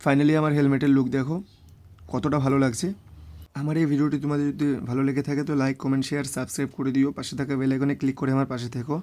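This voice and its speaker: Fast-talking, low-key man narrating a vlog. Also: flat delivery, dark tone. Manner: mixes English words into speech. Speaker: motorbike vlogger. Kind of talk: scripted vlog voice-over narration.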